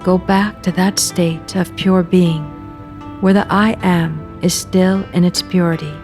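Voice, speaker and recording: A woman leading a guided meditation, speaking in measured, deliberate phrases with soft endings and pauses. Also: on the low side, steady tone. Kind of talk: guided meditation, slow spoken readings with long pauses. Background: meditation music at beginning and end.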